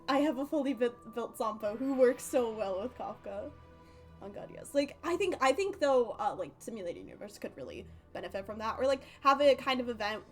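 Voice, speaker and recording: A woman game streamer with a fairly high voice. She chats casually and rambles, giggly and free with swearing, while game music plays behind her. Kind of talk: casual live-stream chat.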